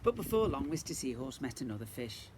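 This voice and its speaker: A man reading a picture book expressively as a teacher for young children, pausing to ask listeners questions. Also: mid-range voice, very animated, noisy background. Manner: questioning, engaging asides to listeners.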